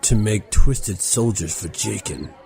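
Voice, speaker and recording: A man playing a gruff warrior companion with a dry, terse way of speaking. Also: fairly low pitch, lively intonation, slow, a crowd in the background, exaggerated voice.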